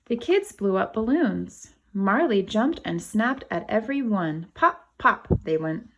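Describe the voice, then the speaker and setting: A woman with a noticeably gravelly, creaky voice reads a picture book to kindergarteners in an unhurried way. She works like a teacher, pausing for simple explanations and speaking plainly to little listeners. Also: mid-range voice, lively intonation, slight background noise. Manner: simple explanatory asides, spells out words for children. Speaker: kindergarten teacher reading aloud to young children. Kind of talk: animated picture-book read-aloud with asides.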